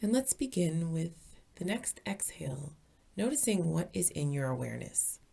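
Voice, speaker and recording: A woman leading a guided meditation as a teacher, calm, soothing and deliberate, with a soft, breathy voice. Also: on the low side.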